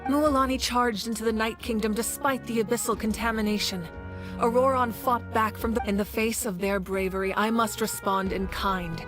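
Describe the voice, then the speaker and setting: A woman's voice: a little high, steady tone, normal speed, over music, lightly acted. Composed, resolute warrior leader played by a woman. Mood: firm, solemn. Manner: composed, formal, decisive. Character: resolute warrior leader woman, dignified, dutiful, battle-proven. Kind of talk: video game voice acting.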